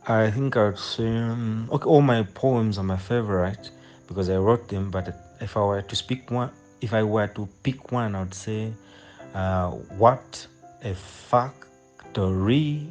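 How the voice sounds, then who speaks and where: A young Zambian man with a fairly low voice and very unhurried pace. He answers interview questions conversationally, pausing and repeating himself as he thinks. Faint music is present. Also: darkish tone. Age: 20s. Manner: hesitant, repeats phrases as he thinks. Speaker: guest poet.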